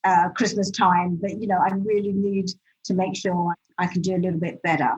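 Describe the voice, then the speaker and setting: A woman's voice: lowish voice, steady tone, normal speed. Chatty British-accented woman, lo-fi sound. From Nigeria. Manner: chatty storytelling, laughs easily. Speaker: podcast guest. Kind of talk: casual interview conversation.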